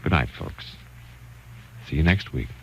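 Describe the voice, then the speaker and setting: A man hosting and narrating a 1940s radio thriller in a solemn, measured, scripted style. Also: rumbling low, animated, slightly rough. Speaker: host and narrator. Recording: dull, noisy 1940s radio recording.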